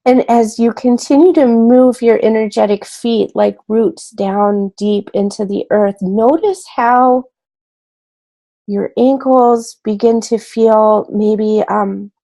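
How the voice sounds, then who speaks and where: A woman leading a guided meditation in a gentle, soothing way, unhurried and pausing between short phrases. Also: mid-range voice, fairly even intonation.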